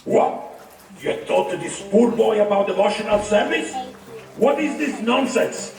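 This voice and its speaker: Man playing a gravelly, high-pitched demon king. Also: animated, a little slow, darkish tone. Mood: indignant. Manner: imperious, dramatic, declamatory. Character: tyrannical demon king, proud father turning furious. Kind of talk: devotional puppet show acting. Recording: echoey, distant, music underneath. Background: music.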